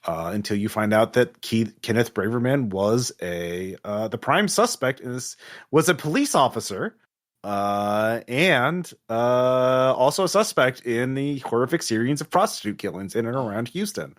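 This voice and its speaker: A man running a tabletop horror game, narrating off the cuff and haltingly as he thinks aloud. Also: mid-range voice, lively intonation. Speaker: game master.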